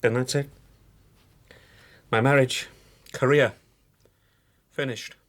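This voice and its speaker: A man's voice: mid-range voice, fairly even intonation, takes it slowly, lightly acted. A man acting in a radio drama as a desperate, self-serving lawyer, pleading and insistent with a sarcastic edge. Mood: despairing.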